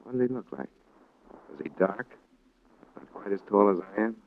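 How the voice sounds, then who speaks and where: A dogged, hard-nosed investigator from 1950s radio drama. His low voice is clipped, blunt and probing. The old recording is tinny, with some faint noise. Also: animated, moderate pace. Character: tough, dogged male freelance insurance investigator. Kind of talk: 1950s radio detective acting and narration.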